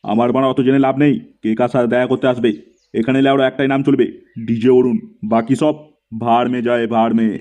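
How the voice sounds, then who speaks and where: Guy doing swaggering cartoon star, full of himself, mellow voice, talks quick. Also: medium pitch, little pitch movement, lightly acted. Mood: boastful. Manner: pompous, swaggering. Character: boastful, crude, self-styled celebrity man. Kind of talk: comedy cartoon dub.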